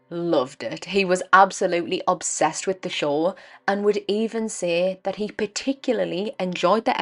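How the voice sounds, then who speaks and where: A young woman hosting a true crime podcast, her voice croaky and dry from a cold, telling the case in an earnest, measured way. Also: fairly low pitch. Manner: earnest, measured storytelling with emphatic stresses. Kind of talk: scripted true crime narration.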